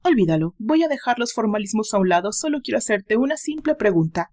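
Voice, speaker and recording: A woman's voice: medium pitch, big pitch swings, fast talker, darkish tone. A woman voicing a wealthy young businessman, a jealous boyfriend who is direct and controlled, in an audio romance. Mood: blunt.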